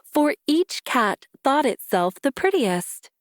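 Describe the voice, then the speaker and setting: Slow, very expressive woman storyteller. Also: high-pitched, no echo, clean studio sound. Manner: deliberate, rhythmic, emphatic. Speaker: storybook reader. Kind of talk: expressive children's story narration.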